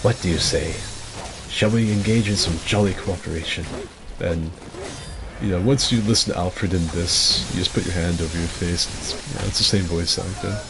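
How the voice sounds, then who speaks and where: A man streaming and chatting casually, rambling and self-deprecating, with a gruff voice. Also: deep voice, fairly even intonation, moderate pace, background noise. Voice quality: gruff, gravelly. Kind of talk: casual chat with viewers.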